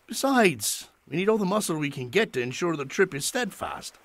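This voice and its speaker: Gallant sea captain, animated intonation. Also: male voice, high voice, normal speed, full character voice. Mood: firm, reassuring. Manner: reassuring, courteous, playfully affectionate. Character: gallant, warm-hearted male ship's captain, reassuring and playful. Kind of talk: period audio drama acting.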